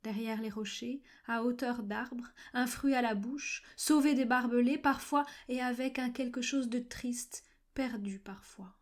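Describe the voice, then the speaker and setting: A woman reciting literary poetry in measured, fragmented phrases with frequent pauses, at times breathy and near-whispered. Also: fairly high pitch. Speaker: poetry reader. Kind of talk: slow literary poetry recitation for a video poem.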